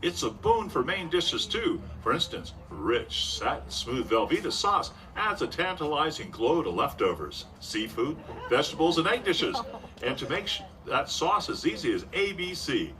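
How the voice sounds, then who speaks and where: Man acting bright, animated radio announcer. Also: fairly high pitch, normal speed. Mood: upbeat, selling. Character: polished, upbeat male radio announcer. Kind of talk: Golden Age radio sponsor pitch and narration. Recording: distant stage mic, faint noise.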